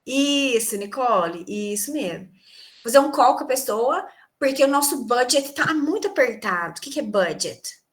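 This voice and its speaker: A woman's voice: on the high side, expressive, normal speed. A woman English teacher from Brazil giving an online class on a video call, explaining terms and quizzing her students. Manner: explanatory, asks students questions and answers them.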